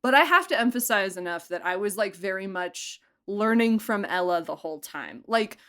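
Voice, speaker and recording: A woman who produced and directed an audio drama, talking casually in an interview, chatty and animated, laughing often. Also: medium pitch, normal speed, clean tone.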